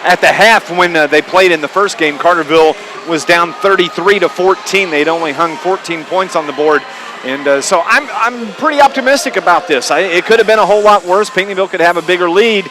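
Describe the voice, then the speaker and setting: An American man calling high school basketball live on radio in a conversational way, from a gymnasium. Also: fairly quick, background noise. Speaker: radio play-by-play sports announcer.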